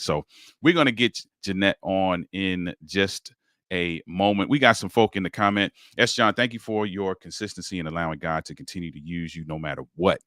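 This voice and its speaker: Male podcast host, deep and bright, dry close mic, upbeat encouraging delivery. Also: no room sound. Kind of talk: livestream podcast talk.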